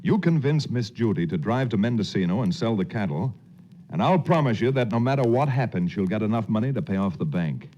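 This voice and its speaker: An actor playing a resolute, confident western hero who also narrates, in a 1940s radio drama style. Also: male voice, on the low side, expressive, brisk, warm tone. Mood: determined. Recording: muffled 1940s radio recording, crowd-like background.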